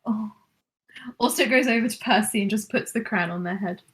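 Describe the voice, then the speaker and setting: A woman's voice: medium pitch, a little fast, a bit bright. A woman playing a tabletop game, answering with short teasing quips. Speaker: player. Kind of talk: casual tabletop chat.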